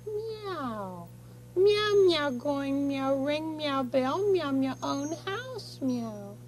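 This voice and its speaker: A man's voice: very high voice, sing-song, drawn-out words, slight background noise, exaggerated voice. A man voicing a shy, sweet little female cat puppet who sprinkles meows through every phrase for a children's show. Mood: timid, insistent. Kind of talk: children's television puppet play.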